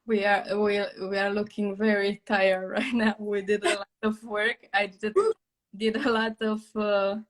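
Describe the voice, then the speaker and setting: A woman's voice: mid-range voice, little pitch movement, a little slow. A woman from Romania with a Romanian accent, a native Romanian speaker. She chats as a guest on a craft live stream, talking a lot, searching for English words and laughing often. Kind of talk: casual live-stream chat.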